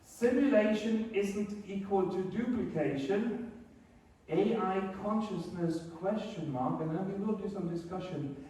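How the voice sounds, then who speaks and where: A German-born teacher leads a workshop in a conference room, joking at his own expense between points. His voice sits fairly high for a man and rings around a very echoey room. He is picked up from a distance, with faint noise underneath. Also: unhurried, dark tone. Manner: self-deprecating, joking.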